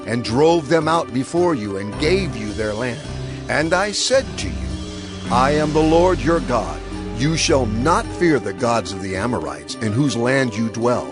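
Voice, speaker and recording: A man playing a stern Hebrew prophet in a dramatized scripture reading, proclaiming a rebuke in a grave, deliberate, declamatory way. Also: medium pitch. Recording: music clearly behind the voice.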